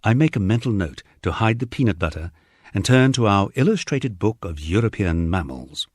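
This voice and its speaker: Man, husky, wry audiobook narration, very varied intonation, dry close recording. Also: fairly low pitch, lively intonation, moderate pace, dry recording. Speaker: audiobook narrator reading a memoir. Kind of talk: scripted first-person narration.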